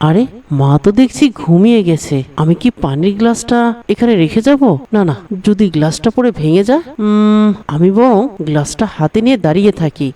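A woman's voice: mid-range voice, expressive, fairly quick, dark tone, close to an everyday voice. A woman playing a dutiful, earnest young boy in a moral cartoon, speaking in a caring way and thinking aloud. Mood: thoughtful. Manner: earnest, talks to himself aloud. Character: dutiful young boy devoted to his sick mother. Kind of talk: Islamic moral cartoon dialogue.

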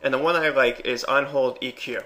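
A man presenting a software tutorial in a casual, step-by-step narration. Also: medium pitch, fairly even intonation, normal speed, rough recording.

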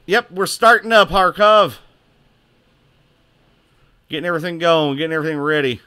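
A man streaming live gameplay, talking loud and excitably, with a booming voice, cursing at the game and answering chat. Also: moderate pace, clear, resonant tone. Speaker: gaming streamer.

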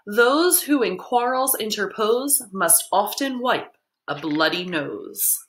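A woman's voice: medium pitch, lively intonation, slow, a bit bright, voice pushed up. A woman voicing a clever, self-satisfied colonial man handing out proverbs emphatically in a storybook. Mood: smug advising.

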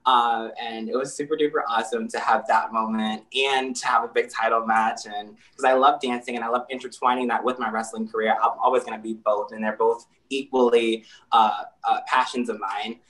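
A person in their twenties, a wrestler and dancer from New Jersey, talking animatedly and laughing over a video call. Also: male voice, medium pitch, fairly quick, poor audio. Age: 20s. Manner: animated, laughing, acts out quoted voices. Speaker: guest, professional wrestler and dancer. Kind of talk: casual interview on a video call.

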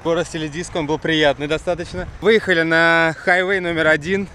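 A man's voice: a little high, steady tone, fairly quick, sharp tone. Young guy vlogging on a windy pier, jokey and slangy, action cam sound with lots of wind. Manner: joking, loose slangy commentary. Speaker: travel vlogger. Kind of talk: casual travel vlog chat. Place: outdoors on a windy waterfront and pier. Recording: wind and boat noise outdoors.

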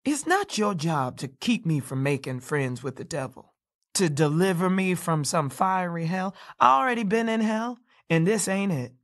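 An actress playing a gruff, bitter, hard-drinking motel recluse in an audio play, curt and needling, with folksy speech. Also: female voice, on the low side, expressive, normal speed, natural voice. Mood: bitter, menacing. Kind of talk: audio drama acting of a stage play.

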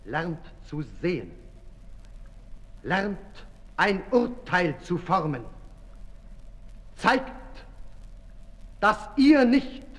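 An actor in a 1960s radio play voicing an embittered, accusing revolutionary orator with declamatory delivery. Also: male voice, high-pitched, very animated, extremely slow, long pauses, fairly bright tone, full character voice. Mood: urgent accusing. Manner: rhetorical, declamatory. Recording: reverberant hall, 1960s radio recording.

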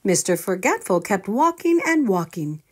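A woman's voice: mid-range voice, normal speed, husky. A woman reading a children's storybook aloud, expressively, as a storyteller addressing young listeners. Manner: expressive, asking listeners questions.

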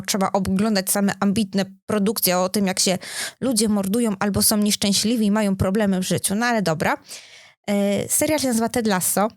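A woman's voice: medium pitch, dry recording, studio quality. A woman co-hosting a podcast in casual conversation, chatty and informal, thinking aloud as she talks. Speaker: podcast co-host.

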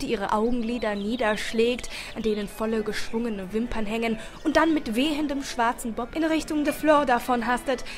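A woman reading a first-person story aloud as an expressive audiobook narrator. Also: a little high. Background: background music.

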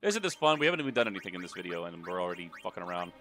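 A man's voice: deep voice, big pitch swings, rapid-fire, pushed and bright, soft background music. A man hosting a let's play, giving casual, rambling commentary with jokes and swearing.

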